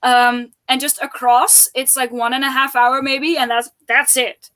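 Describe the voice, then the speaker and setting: A woman's voice: high-pitched, little pitch movement, measured pace, pushed and bright. A woman from the Netherlands, chatting casually and informally as co-host of a live stream. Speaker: co-host of a book readalong stream. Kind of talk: casual chat.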